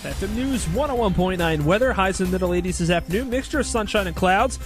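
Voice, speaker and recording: Fast, high-voiced radio weatherman. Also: lively intonation, music in the background. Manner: brisk upbeat forecaster patter. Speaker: radio meteorologist.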